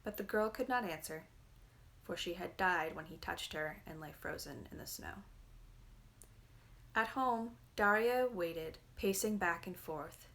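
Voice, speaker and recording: A woman's voice: on the low side, unhurried, a bit bright. A woman narrating a fairy tale from a book as a dramatic, scripted reading. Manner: dramatic storytelling. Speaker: reader, narrator.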